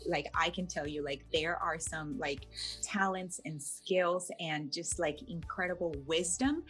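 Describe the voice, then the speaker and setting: Playful woman coach, lowish voice, fillers. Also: unhurried, fairly bright tone, soft background music, poor audio. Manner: playful, many fillers and restarts. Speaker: fitness coach, guest. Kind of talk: casual interview answers.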